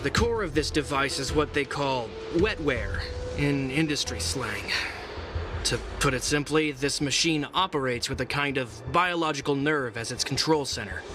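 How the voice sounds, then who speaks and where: A composed technical expert in a video game, played by a man, explains things precisely. His voice is mid-pitched and sits over clear background music. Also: animated, moderate pace, lightly acted. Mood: explanatory. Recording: game music behind the dialogue.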